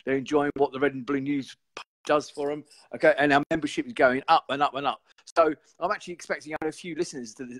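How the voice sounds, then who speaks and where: A man, hoarse and croaky, hosting a casual live stream show and chatting in a rambling way. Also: medium pitch. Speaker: podcast host.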